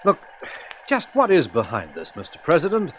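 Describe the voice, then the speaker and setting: A man's voice: a little high, wildly swooping pitch, normal speed, a bit bright, slight background noise. A man playing a conscientious, good-natured space adventurer in a radio serial, speaking in an informal, affable way. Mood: earnest questioning. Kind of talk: vintage science-fiction radio serial acting.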